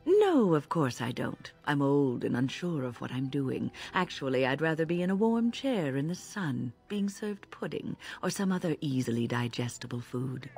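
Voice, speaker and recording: A tart old woman mage from a fantasy game, speaks in a low, husky voice. Her delivery swoops with dry sarcasm and grumbling wit. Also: very animated, moderate pace, few pauses, darkish tone, soft background music, exaggerated voice. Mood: dryly sarcastic. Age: older. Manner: wry, sardonic.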